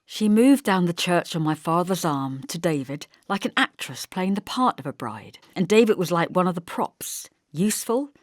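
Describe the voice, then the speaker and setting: A woman narrating a literary novel as an audiobook, in a measured, expressive storytelling manner. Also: lowish voice, no room sound, studio quality.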